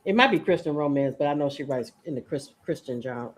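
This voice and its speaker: A woman's voice: sits low, expressive, fairly quick. Lady host chatting casually about books, talks right to the audience. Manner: chatty, addresses live audience by name. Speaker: book show host. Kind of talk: casual book discussion chat.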